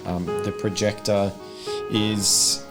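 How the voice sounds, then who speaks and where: Low-voiced man running a tabletop game. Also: little pitch movement, slow. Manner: improvised, conversational rules explanations mixed with scene narration. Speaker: game master. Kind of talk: narrating a tabletop roleplaying game, casual. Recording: loud music behind the voice. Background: music.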